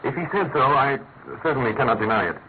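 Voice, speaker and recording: A male actor plays a commanding British detective in a classic radio mystery. He is analytical and emphatic, with a mid-pitched male voice and crisp rhetorical phrasing. The recording is thin and tinny with faint noise. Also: animated, fast, lightly acted. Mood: guarded.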